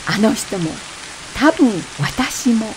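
A woman's voice: a little high, animated, slow pace, noisy background. An actress playing a frank married woman fed up with her husband, in conversational, wry radio drama dialogue. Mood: reflective. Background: rain.

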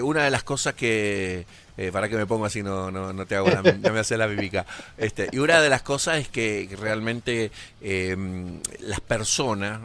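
A man's voice: lowish voice, fairly bright tone. A man, co-hosting a live show from a radio studio, chatty and rambling. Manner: chatty, rambling, gives the call-in number. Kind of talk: casual chat on a live show. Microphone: headset and studio mic.

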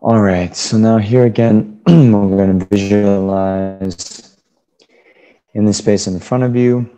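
Deep mellow guy leading a buddhist meditation, kinda halting, feeling his way through the instructions. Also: steady tone, rough recording. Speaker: meditation teacher, Buddhist monk. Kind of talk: guided meditation instruction, unscripted.

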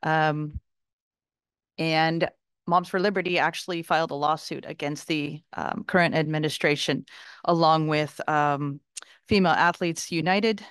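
Woman, public commenter, hesitant, lots of ums, lowish smooth voice, dry sound. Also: fairly even intonation, unhurried, a bit bright, no echo. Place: large boardroom. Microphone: podium microphone.

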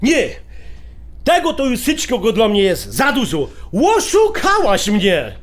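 Blustering older highlander brother, high-pitched. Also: male voice, huge pitch swings, a little slow, heavily acted. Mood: outraged, hurt. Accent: Polish highlander (Goral). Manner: indignant, blustering, exclaiming in rural dialect. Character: hot-tempered, stubborn older brother, an aggrieved Polish emigrant. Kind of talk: audio drama acting.

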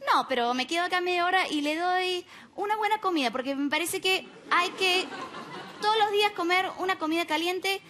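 Deadpan stand-up, young woman from the US, Argentine-tinged Spanish, very high voice, faint hiss. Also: a little fast. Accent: Argentine-tinged Spanish, American. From United States. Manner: deadpan, ironic asides with frequent tag questions. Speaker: stand-up comedian. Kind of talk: stand-up routine. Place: TV comedy club stage with live audience. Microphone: stand mic.

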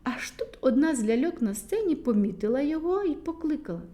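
Lively woman telling a fairy tale. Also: high-pitched, fairly quick. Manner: animated, addresses children directly. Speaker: storyteller. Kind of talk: children's fairy tale reading.

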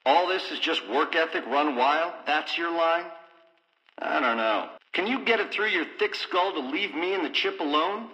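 A slick, threatening casino gangster in a video game. His voice is bright, forceful and very animated, full of glib, mocking hipster slang. It booms through an intercom with long reverb. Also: normal speed, dull audio. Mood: mocking, cold. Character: slick menacing casino gangster, gloating double-crosser. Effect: intercom speaker.

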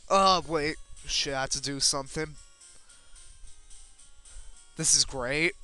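A man's voice: on the high side, slow pace, bright, forceful tone, clear, resonant tone. A man giving casual, rambling video game commentary in a self-deprecating way, with casual swearing. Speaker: let's player. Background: video game music.

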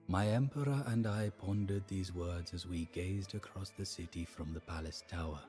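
An actor playing a sorrowful, devout adviser to an Indian emperor, narrating the story in a solemn, measured, theatrical way for a game. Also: male voice, deep voice, a little mellow, faint music behind, natural voice. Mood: calm, reflective. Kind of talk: dramatic scripted storytelling narration.

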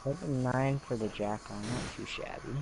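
A man's voice: mid-range voice, moderate pace, noisy background. A man chatting casually with friends during a game stream, making dry offhand remarks. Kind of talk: casual chat. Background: music.

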